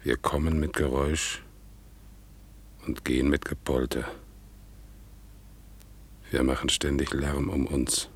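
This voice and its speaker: A man's voice: a bass voice, fairly even intonation, slow, long pauses, breathy edge, full character voice. A man playing a meek, melancholy one-legged veteran in a 1960s German radio play, speaking plaintively and broodingly. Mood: brooding, sad.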